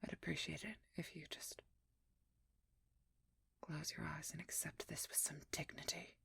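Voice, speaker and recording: A woman playing a determined, wounded vampire hunter in an ASMR roleplay, with a breathy, husky voice that often drops near a whisper and an intimate, intense delivery. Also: low-pitched, flat delivery, unhurried, pushed and bright, no echo, exaggerated voice. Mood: cold, anguished resolve. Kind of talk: ASMR roleplay audio drama.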